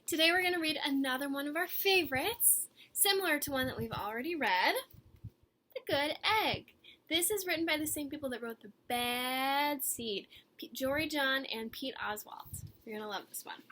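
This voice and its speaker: A woman's voice: high voice, normal speed, bright, forceful tone. A woman reading a picture book aloud for children, speaking directly and encouragingly to her young listeners. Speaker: story time reader for children.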